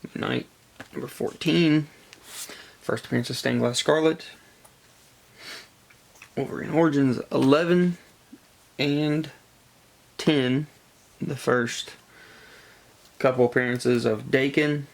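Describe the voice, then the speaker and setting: A man with a congested, gravelly voice from a cold, chatting casually as he shows off a collection haul in a halting way. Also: medium pitch, steady tone. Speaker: comic book collector presenting a haul. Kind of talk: casual show-and-tell vlog chat.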